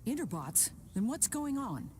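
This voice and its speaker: A woman playing a brisk, no-nonsense police officer in a video game, speaking in a businesslike way. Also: a little high, very animated, background noise. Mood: concerned. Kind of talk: video game cutscene acting.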